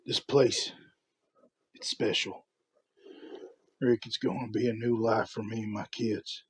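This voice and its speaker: A man playing an older, remorseful farmer father, speaking haltingly and earnestly in a motion comic drama. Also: on the low side, steady tone, husky. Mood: solemn, grateful. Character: grieving, remorseful farmer father, humbly thankful.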